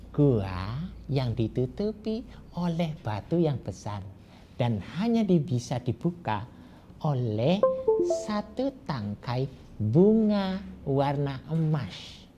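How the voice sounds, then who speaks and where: A man's voice: mid-range voice, warm tone, highs cut off, a little hiss or hum. A man voicing a kindly old grandfather who chuckles and draws out his words while giving a hint. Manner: chuckling, drawn-out speech.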